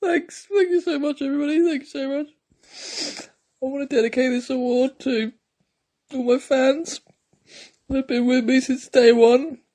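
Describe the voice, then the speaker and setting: A woman's voice: high voice, fairly even intonation, moderate pace, long pauses, dark tone. A woman voicing a gushing, self-important award winner who falters through a speech. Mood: gushing, tearful gratitude.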